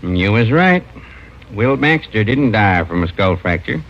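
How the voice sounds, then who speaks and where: An American actor playing a plainspoken, folksy country doctor in an old radio drama. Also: male voice, on the low side, sing-song, a little slow, a bit bright, full character voice. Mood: grave. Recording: dull old radio recording.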